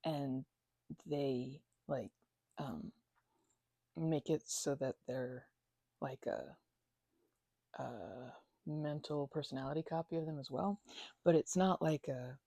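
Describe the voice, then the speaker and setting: Woman acting as weary but playful roommate girl, asmr roleplay, soft raspy voice, super dry close mic, kinda rambly and hesitant. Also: rumbling low, takes it slowly, long pauses, no echo, heavily acted. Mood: animated, musing. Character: chatty, supportive female housemate, weary from a migraine. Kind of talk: intimate ASMR roleplay, casual conversational delivery. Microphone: close microphone, dry.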